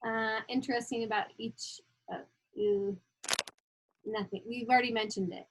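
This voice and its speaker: A woman's voice: fairly high pitch, fairly even intonation, moderate pace, background noise. A woman introducing herself in a hesitant, teasing way. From Idaho, USA. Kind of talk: casual team introduction.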